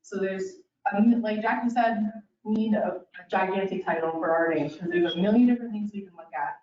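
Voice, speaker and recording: A woman's voice: mid-range voice, brisk, a little mellow. A woman speech-language pathologist giving a casual educational presentation, talking straight to her listeners with lots of "kind of" and "um". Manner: casual and chatty, addresses listeners directly, many "kind of" and "um". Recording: roomy, distant, dull sound.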